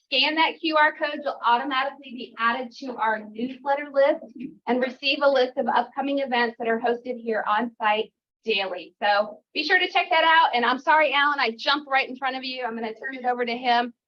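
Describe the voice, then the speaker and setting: A woman's voice: sits high, a little fast, slight background noise. A woman on the venue staff, chatty and upbeat, welcoming the audience and making announcements. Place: meeting room. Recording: dull lo-fi room recording.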